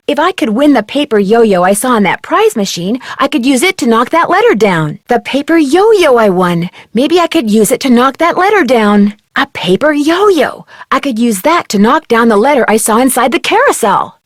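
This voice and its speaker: A woman playing an upbeat, curious and polite young amateur detective in scripted adventure game lines, clearly enunciated. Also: on the high side, very animated, moderate pace, hardly any pauses, no room sound, studio quality. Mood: pondering. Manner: perky, clearly enunciated, musing to herself or guiding the player. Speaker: video game heroine and tutorial guide.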